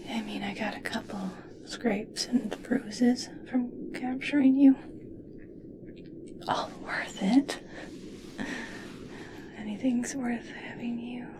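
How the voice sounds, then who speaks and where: A woman playing an obsessed, possessive yet tender scientist in a slow, breathy, intimate ASMR roleplay whisper. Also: a little high, fairly even intonation. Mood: obsessive.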